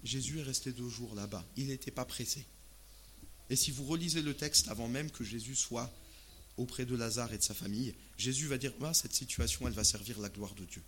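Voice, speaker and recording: Male preacher, medium pitch, informal and expressive sermon delivery, live recording with light background noise. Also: brisk. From Alsace, France. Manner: expressive, uses rhetorical questions and acted-out asides. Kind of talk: sermon in conversational, colloquial language.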